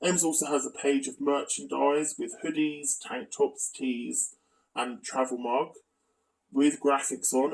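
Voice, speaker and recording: A man's voice: fairly high pitch, gravelly, darkish tone, recorded from a distance, poor audio. A man narrating a scripted exposé as a video commentator, with asides and a critical, accusatory manner.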